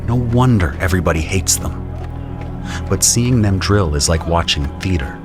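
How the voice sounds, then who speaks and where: Deep-voiced man narrating an audio drama. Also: expressive, moderate pace, music in the background. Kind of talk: scripted first-person audio drama narration.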